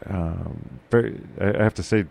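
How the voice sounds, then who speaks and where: A man hosting a casual local podcast chat, with a loud, carrying voice, talking haltingly with many fillers and restarts. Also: sits low, vocal fry. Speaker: podcast host.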